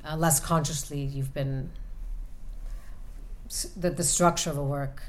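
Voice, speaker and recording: A woman author answering audience questions about her novel, speaking thoughtfully with many pauses and restarts. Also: sits low, steady tone. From United States. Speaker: author at a reading. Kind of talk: book talk and audience Q&A. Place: event room with an audience.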